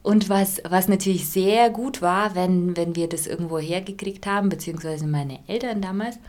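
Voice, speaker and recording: A woman from Ukraine with a somewhat low voice. She answers questions casually and thinks aloud as she goes, pausing often and restarting her sentences. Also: a little mellow. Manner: thoughtful, hesitant, many fillers and restarts. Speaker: interviewee.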